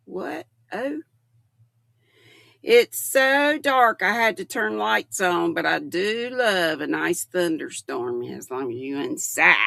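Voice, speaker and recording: A woman's voice: medium pitch, pushed and bright, no room sound. An older woman, hosting a casual livestream and chatting with viewers. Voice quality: gravelly. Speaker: livestream host. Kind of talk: casual chat with live viewers.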